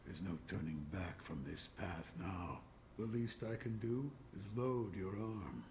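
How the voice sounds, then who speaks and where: A weary old sculptor speaks with a low, measured gravity, his voice sitting a little deep. He sounds cryptic and solemn. The game audio carries a steady hiss behind him. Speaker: video game character. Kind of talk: game dialogue.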